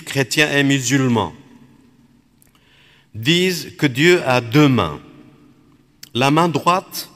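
Slow, reflective priest in a hall. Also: male voice, medium pitch, a bit bright. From Iraq. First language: Aramaic. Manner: measured, contemplative, phrase by phrase. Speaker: Dominican priest. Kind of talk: spiritual reflection.

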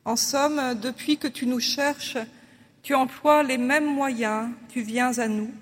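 A prayer leader reading a prayer aloud during worship in a church, in a slow, solemn and measured way. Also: mid-range voice, long reverb tail.

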